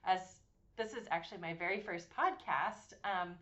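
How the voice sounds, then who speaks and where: A woman in her forties from Massachusetts, co-hosting a podcast and telling her story with earnest, warm detail and frequent ums. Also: on the low side, moderate pace, highs cut off. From Massachusetts, USA. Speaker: podcast co-host, university program director. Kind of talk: casual podcast chat.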